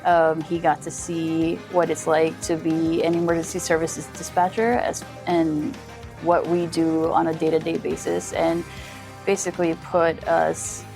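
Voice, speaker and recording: Woman, slightly low voice, informal interview, dispatcher, faint music behind. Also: flat delivery, unhurried, vocal fry, a little mellow. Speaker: emergency dispatcher.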